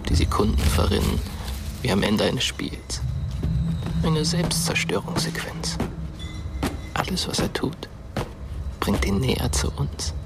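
A man playing a watchful, bored teenage ghost who narrates a radio play in a hushed, deliberate way. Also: mid-range voice, big pitch swings, creaky, loud music behind the voice. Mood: ominous.

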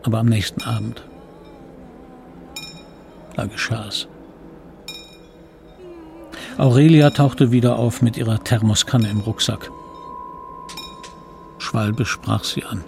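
Troubled guy telling his boyhood story, gravelly, brooding, music behind. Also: mid-range voice, steady tone, unhurried, pauses often. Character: troubled man narrating his boyhood guilt. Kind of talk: dramatic radio play narration.

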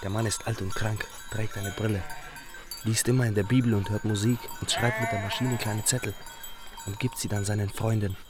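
A man's voice: on the low side, fairly even intonation, a little fast, natural voice. An actor playing a naive young shepherd in a radio crime drama, talking simply and coaxingly to his dog. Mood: musing. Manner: simple, musing aloud, coaxing. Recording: music and bleating flock behind.

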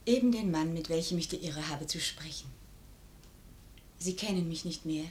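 An actress playing a dignified, grieving officer's widow, formal and solemn, in an old German radio play. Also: female voice, low voice, normal speed, a little mellow, natural voice. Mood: sorrowful, dignified. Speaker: radio drama actor. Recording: noisy old radio recording.

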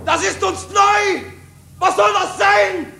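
An actor playing a fanatical soldier, shouting slogans in a strained, creaky monotone chant in a vintage radio drama. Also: male voice, very high voice, takes it slowly, full character voice. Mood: alarmed, defiant. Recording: echoey, noisy old radio recording.

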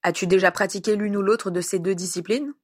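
A woman's voice: mid-range voice, steady tone, fairly quick, natural voice. A woman voicing a formal male commander questioning trainees in a read-aloud story.

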